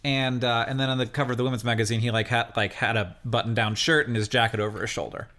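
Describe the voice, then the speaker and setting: A man co-hosting a podcast in casual, joking chat, talking in a halting way with rephrasings. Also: medium pitch, steady tone, pushed and bright. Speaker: podcast co-host.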